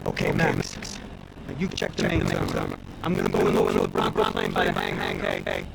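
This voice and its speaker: An actor playing a male ally briskly giving orders before a fight. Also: on the high side, huge pitch swings, gravelly, heavily acted. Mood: tense. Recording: game cutscene with loud background noise.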